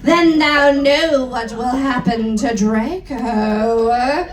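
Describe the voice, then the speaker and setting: Casting: woman as bombastic evil wizard man, archaic drawn-out commands, high-pitched, roomy live stage. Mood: menacing. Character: bombastic, menacing male dark wizard villain.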